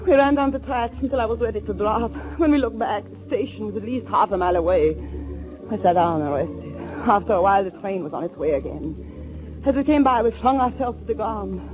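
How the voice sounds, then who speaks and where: A woman's voice: medium pitch, a little fast, music in the background, lightly acted. An actress playing a frightened, tense woman on the run, narrating her ordeal with dramatic 1940s radio thriller acting. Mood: tense recounting. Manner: dramatic, breathless, suspenseful delivery. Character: frightened young woman fugitive named Anna, tense, recounting her ordeal. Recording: noisy old 1940s radio recording.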